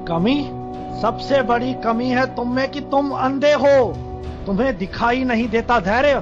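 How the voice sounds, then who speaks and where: A man voices an overbearing older brother in a radio play, shouting scornful threats. His voice sits high, and music plays clearly behind him. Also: measured pace, dull audio. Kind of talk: amateur radio drama acting.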